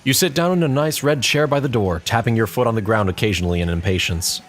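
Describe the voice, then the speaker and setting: A man's voice: medium pitch, fast talker. A man reading scripted story narration as the narrator of an audio tale. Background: background music.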